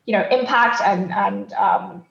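The sound is echoey and somewhat dull. A woman from Thailand talks at a medium pitch as an interview guest. She explains things conversationally and reflectively, with a halting flow. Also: vocal fry. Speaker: podcast guest.

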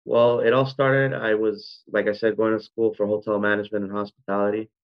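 Low-voiced Venezuelan-born man, rambling. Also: level tone, brisk. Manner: rambling, frequent ums and self-corrections. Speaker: restaurant founder, guest. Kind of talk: conversational interview. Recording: dull lo-fi sound.

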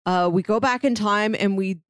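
A woman with a mid-pitched voice chats as a podcast co-host. She retells and comments in a casual, conversational way, and the sound is dry with very little room in it. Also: moderate pace, smooth, dry recording.

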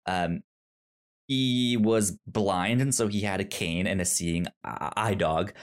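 Slow, halting man with a stammer. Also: low voice. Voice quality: stammer, repeating sounds and words. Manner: halting, rambling. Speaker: podcast co-host reviewing films. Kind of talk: casual movie discussion.

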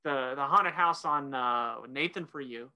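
A woman's voice: very deep voice, unhurried, bright, forceful tone. A woman co-hosting a podcast in casual discussion, hesitant and often repeating words as the thought forms. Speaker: podcast co-host.

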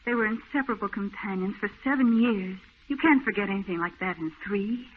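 A woman's voice: mid-range voice, fairly quick, lightly acted. Actress as a young woman in love, worried and earnest, old radio drama, tinny. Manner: anxious, earnest, emotional. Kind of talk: 1940s radio acting. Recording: tinny old radio broadcast with faint hiss.

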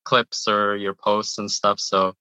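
A man's voice: on the low side, flat delivery, slow, pushed and bright, clean tone, dry recording, dull audio. A man in his thirties, born in Iran, chatting in an interview and often repeating words as he talks. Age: 30s. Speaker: guest, YouTuber. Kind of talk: casual interview answers.

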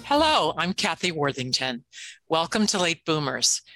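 A woman's voice: low voice, very animated, moderate pace, loud music behind the voice. An older woman co-hosting an interview show, reading the guest introduction with a few slips. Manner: reads the intro with small slips. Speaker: podcast co-host.

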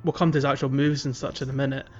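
A man's voice: mid-range voice, flat delivery, very fast, a little mellow, soft background music. A man co-hosting a podcast in casual game discussion, often correcting his phrasing as he goes. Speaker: podcast co-host. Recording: muffled lo-fi audio.

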